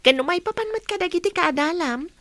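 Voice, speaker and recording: A woman's voice: very high voice, animated, brisk, a bit bright, dry recording. A woman playing a friendly, encouraging young female student in an Ilocano radio drama. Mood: friendly, eager.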